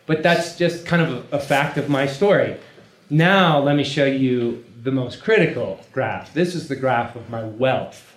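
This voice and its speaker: A man's voice: medium pitch, unhurried. A man preaching in an informal, conversational way to a live group, emphatic and repeating phrases for weight. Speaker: preacher leading a teaching session. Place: room, speaking live to a gathering. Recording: echoey room with background noise.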